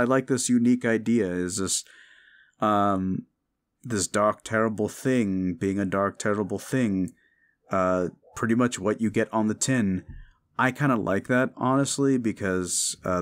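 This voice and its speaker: A man with a fairly low voice talks casually to his audience as the creator of audio roleplays. He sounds informal and a little halting, thinking aloud as he goes. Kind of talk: casual outro chat to listeners.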